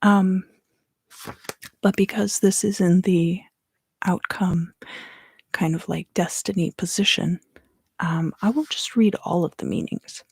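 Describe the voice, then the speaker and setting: A woman giving an ASMR card reading for a live stream in a soft, unhurried, often fully whispered voice, close to the microphone. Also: fairly low pitch, fairly even intonation, creaky, breathy edge, dry recording. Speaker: ASMR tarot reader and live stream host.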